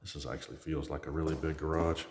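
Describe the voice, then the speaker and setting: Hoarse man touring an echoey empty house. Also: a bass voice, flat delivery, fast talker. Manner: chatty, rambling, self-deprecating. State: hoarse, losing his voice. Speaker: real estate agent. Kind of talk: casual home tour vlog. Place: empty new house, indoors. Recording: echoey empty rooms, faint smoke alarm chirps.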